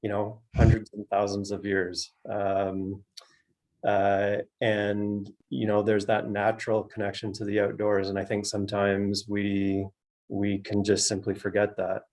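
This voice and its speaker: A man with a Canadian accent giving thoughtful, measured interview answers, feeling his way through each point. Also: fairly low pitch, monotone, darkish tone. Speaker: author and outdoor education director being interviewed. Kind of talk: thoughtful interview answers at an online book launch.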